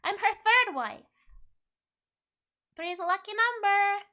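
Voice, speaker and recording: A young woman streaming a game and chatting with viewers, playful and teasing, her voice a little hoarse. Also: very high voice, expressive, a little slow, pushed and bright. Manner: playful, giggly, teasing chat. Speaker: VTuber streamer. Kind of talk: casual game stream chat, reading chat.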